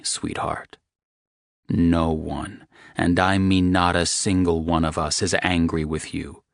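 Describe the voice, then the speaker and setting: A man's voice: dry recording, studio quality. A man voicing a protective, crude young man. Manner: low, gentle, coarse-talking.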